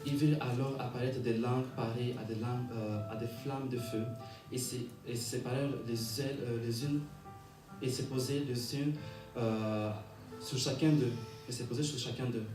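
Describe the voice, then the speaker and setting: A man's voice: medium pitch, steady tone. Man preaching in an echoey room over music. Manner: halting when reading aloud, repeats and rephrases phrases; rhythmic repetition, calls for amens. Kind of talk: sermon with scripture reading. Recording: echoey room, distant mic, music behind.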